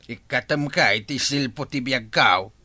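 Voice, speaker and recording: A man's voice: medium pitch, measured pace, pushed and bright, no room sound. A man with an Ilocano accent voicing a joking, drink-loving middle-aged husband in a radio drama. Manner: joking, unbothered.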